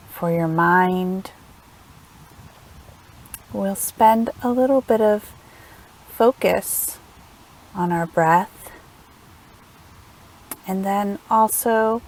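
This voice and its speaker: A woman leading a guided meditation in a gentle, soothing manner, unhurried and leaving long pauses between phrases. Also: mid-range voice, low-quality recording. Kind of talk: guided meditation, slow instructional narration.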